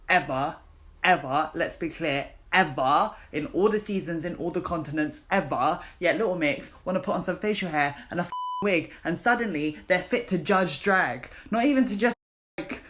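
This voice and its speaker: Low-voiced British woman in a sarcastic rant. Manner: sweary, sarcastic, repeats words for emphasis. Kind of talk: impassioned informal rant.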